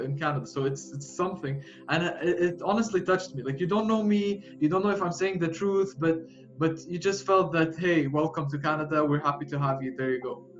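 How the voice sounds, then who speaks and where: A young man in his twenties with a Jordanian Arabic accent, telling his own story in an interview with frequent pauses, fillers and restarts. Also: a little high. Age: 20s. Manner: halting, with many fillers and restarts. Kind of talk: personal storytelling. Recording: muffled, with music behind.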